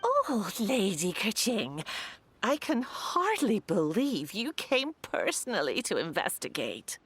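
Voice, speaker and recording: A woman playing an elderly village granny in a video game, worried and respectful, with a halting, wavering delivery. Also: mid-range voice, huge pitch swings, a bit bright, breathy edge, dry recording, heavily acted. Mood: surprised. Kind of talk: video game character acting.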